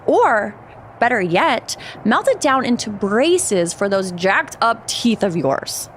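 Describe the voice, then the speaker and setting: A woman's voice: on the high side, big pitch swings, takes it slowly, slight background noise. A woman voicing a cocky, mocking female wrestler villain in a video game, taunting and boastful. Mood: sneering, taunting. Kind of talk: video game cutscene acting, trash talk.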